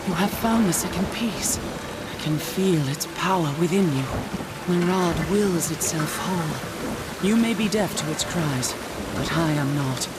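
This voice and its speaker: A woman's voice: lowish voice, a little slow, very noisy, lightly acted. A woman playing a proud, weary angel warrior commander in a video game, speaking in a solemn, formal, resolute way. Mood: solemn, wary. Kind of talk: dramatic video game cutscene acting. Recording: loud music behind the voice.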